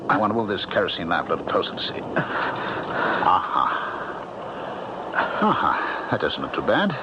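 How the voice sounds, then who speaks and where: A skeptical country doctor, a kindly man of reason with a low voice that swings widely in pitch. His delivery is educated and avuncular, in old radio-play style, heard through a dull, noisy seventies broadcast. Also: very animated, normal speed. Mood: brisk, kindly. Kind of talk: old-time radio drama acting.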